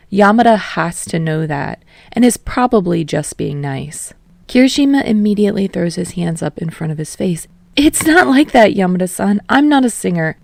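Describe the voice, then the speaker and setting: A woman reading fan fiction aloud in a calm, steady narration. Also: fairly low pitch, vocal fry, no room sound. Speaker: podfic reader. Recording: dry close home recording.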